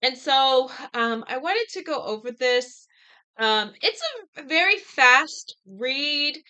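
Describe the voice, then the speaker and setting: An American woman in her thirties talking casually and reflectively to camera, with halting, deliberate phrasing. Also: high voice, lively intonation, sharp tone, clean tone. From United States. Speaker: vlogger discussing a book.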